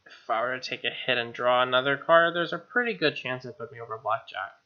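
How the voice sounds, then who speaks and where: A man's voice: medium pitch, a little fast, bright, forceful tone. A man hosting a gaming video, reading a visual novel aloud with casual, snarky and profane asides. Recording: dull lo-fi mic over music. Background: game music with guitar.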